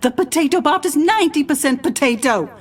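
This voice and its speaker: A woman's voice: high voice, expressive, brisk. A woman playing a dry, embittered, defensive scientist in an audio drama, with a clipped delivery.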